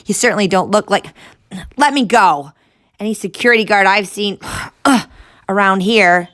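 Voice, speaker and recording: A woman voicing a brave young boy who shouts and demands answers. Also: mid-range voice, normal speed, dry recording, studio quality, voice pushed up, close to an everyday voice. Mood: demanding. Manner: loud and demanding.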